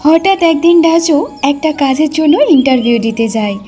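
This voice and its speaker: A woman's voice: high voice, fairly quick, dark tone. A woman reading scripted narration for a cartoon folk-style story.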